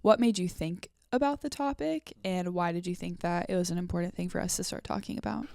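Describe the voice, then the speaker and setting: A woman in her twenties with a slightly low voice and lots of vocal fry leads the conversation with upbeat questions. The recording is dry and close. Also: fairly quick, darkish tone, no room sound. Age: 20s. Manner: upbeat, guides the talk with questions. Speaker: podcast co-host. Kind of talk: casual conversational chat.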